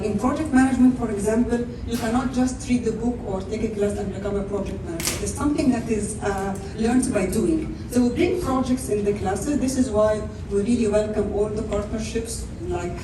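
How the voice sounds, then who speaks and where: A middle-aged woman from Egypt with an Egyptian accent, speaking informally and enthusiastically into a handheld microphone at a networking event, with frequent fillers. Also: medium pitch. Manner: warm, laughing, enthusiastic, with fillers. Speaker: teaching professor. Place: large indoor event space. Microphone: handheld microphone, heard from far off. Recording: echoey room from far off, background noise.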